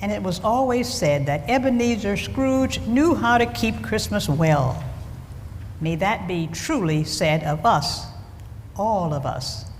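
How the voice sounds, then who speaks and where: A woman narrating and announcing in a 1940s radio style, theatrical and measured. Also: mid-range voice, expressive, slight background noise. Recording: loud music underneath.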